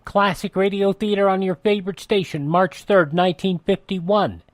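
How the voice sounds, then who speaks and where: A man's voice: high-pitched, steady tone, moderate pace, dry recording. A man hosting radio, announcing and reading endorsements with folksy direct address. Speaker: radio show host.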